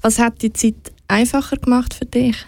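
A woman asking calm, personal questions as an interviewer. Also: on the high side, normal speed, vocal fry, dry recording.

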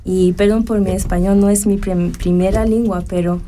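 A woman from Switzerland whose first language is French, answering interview questions in halting Spanish and searching for words. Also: mid-range voice, fairly even intonation, warm tone, slight background noise. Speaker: beauty pageant contestant.

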